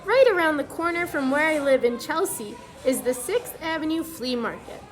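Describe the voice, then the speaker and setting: A woman preschool teacher reading a picture book aloud to young children, pausing to ask them questions in an instructive way. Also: high voice, expressive, poor audio.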